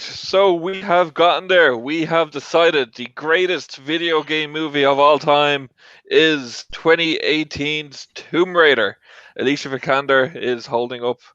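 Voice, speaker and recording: Clear, smooth male host, slightly muffled. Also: fairly high pitch, steady tone, a little slow, a bit bright, no room sound. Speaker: host of a movie debate show. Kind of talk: casual panel talk.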